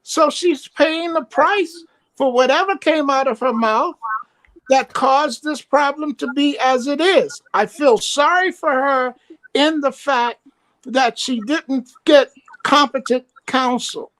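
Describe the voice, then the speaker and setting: Woman caller, blunt and opinionated, high voice, slow steady delivery. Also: poor audio. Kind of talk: casual chat.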